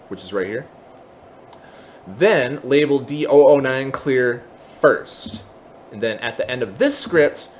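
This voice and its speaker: A man presenting a software tutorial, explaining step by step in a semi-casual way with casual asides. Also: medium pitch, a little hiss or hum.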